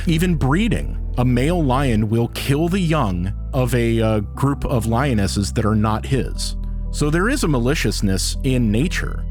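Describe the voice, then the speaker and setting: Emphatic man with music behind him. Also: mid-range voice. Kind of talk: animated talk and improvised game narration.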